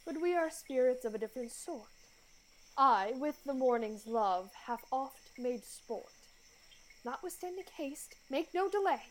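A woman playing a commanding, calm fairy monarch in a Shakespearean radio play, speaking measured verse regally. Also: sits high, rough recording. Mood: regal, assured.

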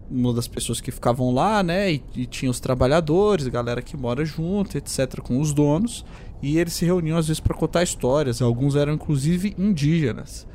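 A man's voice: medium pitch, darkish tone, a little hiss or hum. A man playing a tabletop game in a casual, rambling way, describing his character. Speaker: player.

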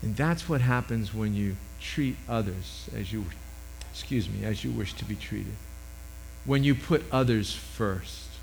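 Loud emphatic older male preacher. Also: lowish voice, a little slow, creaky, a little hiss or hum, rough recording. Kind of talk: sermon. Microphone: microphone.

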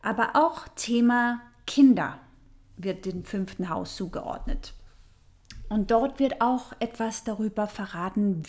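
A woman speaking German as a non-native with a foreign accent, giving an informal, unhurried explanatory talk with pauses and a playful manner. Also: medium pitch, darkish tone. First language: not German. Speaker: astrology host.

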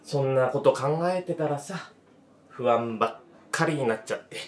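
A man's voice: mid-range voice, normal speed, close to an everyday voice. A man in his thirties playing a weary, self-doubting cafe owner in a radio drama, speaking in a hesitant, reflective, understated way. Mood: troubled, uncertain. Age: 30s. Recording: roomy, echoing voice recording.

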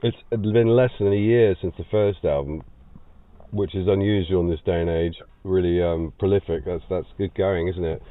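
A man with a very deep voice interviews a musician in a relaxed radio style. He asks short, chatty questions and confirms with a quick "yeah". The audio is narrow and thin, like a phone line. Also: no echo. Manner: brief affirmations, conversational questioning. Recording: narrow lo-fi broadcast sound.

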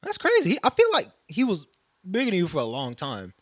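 A man in his late teens co-hosting a podcast, easygoing in casual chat, reacting with quick asides. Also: sits high, wildly swooping pitch, no room sound, clean studio sound.

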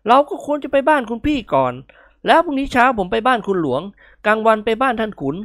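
A woman's voice: a little high, big pitch swings, quick pace, no room sound, studio quality, voice pushed up. A woman voicing a brash, gluttonous male show-off in a comic story, declaiming in a mock-grand way. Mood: exasperated, persuasive.

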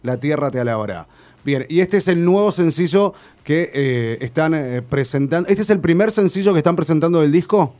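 A man hosting a radio interview, asking questions in a relaxed way with many hesitant fillers. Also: a little high, fairly quick. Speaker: radio host.

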